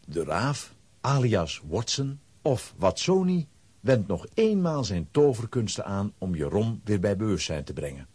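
A male narrator tells a children's adventure story in a dramatic scripted style. His voice is fairly low and husky, with lively swings in pitch, recorded dry and close. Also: unhurried, no echo.

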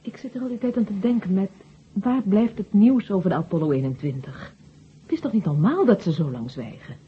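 A woman's voice: medium pitch, lively intonation, fairly quick, natural voice. An actress playing an anxious astronaut's wife and mother, worried and pleading, in a 1970 Dutch radio drama. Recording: dull, hissy 1970 radio recording.

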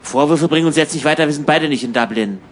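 Irritable male emigrant, played by a man, radio play, conversational, exasperated, slightly high voice, background noise. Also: fast, natural voice. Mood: insistent. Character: restless, irritable German emigrant in a hotel room. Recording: clear background ambience noise.

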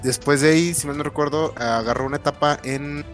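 A man with a Mexican Spanish accent on a casual comics panel, recalling details slowly with frequent pauses and fillers. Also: a little high, steady tone, faint music behind. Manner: hesitant, many "eh" fillers, slow recollection. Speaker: podcast panelist. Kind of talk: casual comic-book chat.

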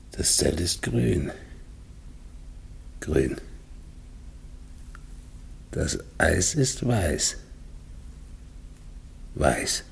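An actor in a German radio play voicing a tormented, dying polar expedition leader who talks haltingly to himself, husky and gravelly. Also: male voice, very deep voice, exaggerated voice. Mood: weary, haunted. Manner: halting, repeats words, swings between murmurs and desperate calls.

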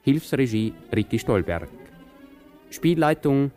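A man formally announcing radio drama credits in a measured, scripted manner. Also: mid-range voice, sing-song, mellow, faint music behind.

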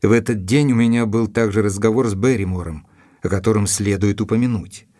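An actor playing an earnest gentleman doctor in an audio drama, narrating and questioning in a measured, serious, deliberate way. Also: fairly low pitch, steady tone, natural voice. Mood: measured, reflective.

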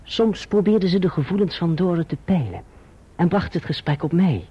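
An actor playing an earnest young gentleman who tells his own story in formal, measured language in a radio drama. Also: high voice, slightly rough. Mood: reflective, wistful. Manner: formal, measured literary diction. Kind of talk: literary radio drama narration and dialogue. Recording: muffled, dull old radio drama recording.

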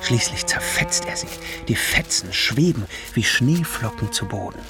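A man narrating silent-film scene directions in a slow, measured way. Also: mid-range voice, expressive, close to an everyday voice. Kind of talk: scripted narration. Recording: cello music behind.

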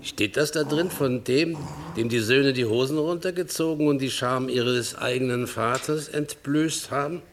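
An actor playing a weary, grumbling older farmhand father with a gravelly voice in a German radio drama. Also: mid-range voice, unhurried, slight background noise, close to an everyday voice. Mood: musing. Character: weary ageing farmhand father, plain-spoken, grumbling yet wistful.

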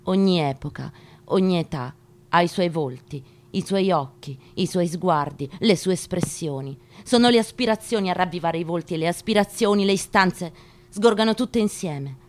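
A woman with a slightly low voice reads a literary text as an actress would. Her delivery is measured and emphatic, broken into short weighted phrases. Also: darkish tone. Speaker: actress giving a staged reading. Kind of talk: dramatic literary reading.

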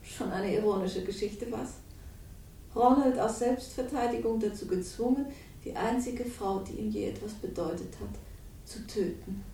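A woman's voice: normal speed, mellow, slight background noise, lightly acted. An actress playing a cold, manipulative woman in a radio crime drama, speaking with smug irony and cool amusement. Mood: mocking, cool. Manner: smug, ironic, coolly amused. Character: cold, manipulative, mocking woman confessing her schemes. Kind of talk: 1960s German radio crime drama acting. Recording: roomy, distant, faintly rumbling radio recording.

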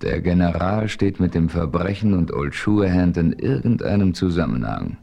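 A man with a low, deep voice narrates an adventure radio play in the first person. His storytelling is calm and measured, and it shifts easily into the hero's dialogue. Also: gravelly, lo-fi sound. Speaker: narrator and hero.

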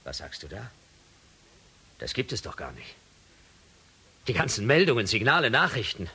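An actor playing a stern space shuttle commander in a science fiction radio play, with an authoritative, dramatic manner and a rough edge to his voice. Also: lowish voice, wildly swooping pitch, moderate pace, bright, forceful tone, slight background noise, exaggerated voice. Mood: shaken.

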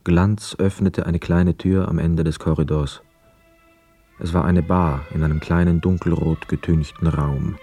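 An actor narrating a literary radio play as a sober, observant storyteller with a mellow voice. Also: male voice, fairly even intonation, unhurried, breathy edge, soft background music, heavily acted. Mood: reflective. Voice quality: deep, mellow. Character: sober, observant male first-person narrator.

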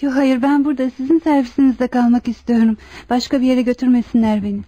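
An actress playing a timid young hospital patient who clings to others, polite and pleading, in a Turkish radio play. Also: female voice, high-pitched, steady tone, fast talker, dry recording. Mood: timid, attached. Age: young adult. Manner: soft-spoken, polite, pleading. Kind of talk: Turkish radio theatre acting. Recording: muffled old radio drama with music. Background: music.

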